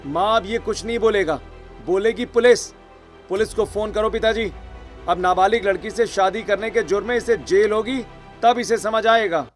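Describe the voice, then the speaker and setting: A man voicing an angry, accusing young brother in an animated family melodrama, forceful and taunting. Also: sits high, normal speed, soft background music, voice pushed up, lightly acted. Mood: angry, threatening.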